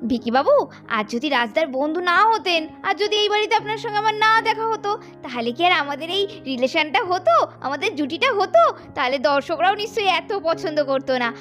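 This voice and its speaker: A woman narrating a doll cartoon and voicing the young women in it, brisk and expressive. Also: very high-pitched, faint music behind. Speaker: narrator and voice of many dolls.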